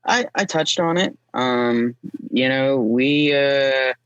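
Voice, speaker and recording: A man's voice: medium pitch, slow pace, muffled recording, crowd noise. A man speaking as a podcast guest in casual chat, hesitant, with wry, understated humor. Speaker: podcast guest, drift driver.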